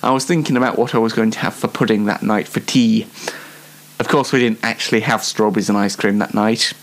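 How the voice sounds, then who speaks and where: A man's voice: lowish voice, fairly even intonation, brisk. A young British man telling casual anecdotes as a show host, in a chatty, rambling and self-deprecating way. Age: young adult.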